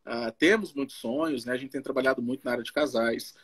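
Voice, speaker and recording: A man with a husky voice, speaking informally as a missionary pastor in a halting way, often checking in with his listener. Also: medium pitch. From Belo Horizonte, Brazil. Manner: halting, frequent tag questions to the listener. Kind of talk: informal testimony and Bible teaching. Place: live social media video call.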